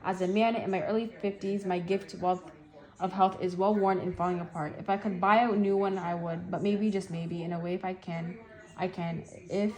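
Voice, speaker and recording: A teenage girl speaking haltingly, sometimes stumbling over her words as she reads or answers questions. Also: on the low side, fairly even intonation, darkish tone. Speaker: guest reading an article aloud and answering questions. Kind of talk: halting read-aloud and shy interview answers.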